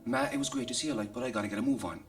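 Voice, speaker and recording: A man's voice: mid-range voice, racing, natural voice. A man playing an awkward, sheepish seventeen-year-old Irish son in a live radio comedy. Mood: awkward, evasive. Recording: distant, noisy live stage recording.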